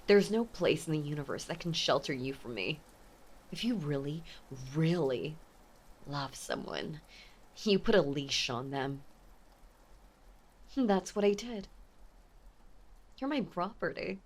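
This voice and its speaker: A woman playing an obsessive, possessive mafia heiress ex-girlfriend in a dramatic audio roleplay, with intense, menacing, theatrical delivery and a breathy voice. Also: deep voice, exaggerated voice. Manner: intense, menacing, theatrical, swinging between hush and outburst. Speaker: voice actor.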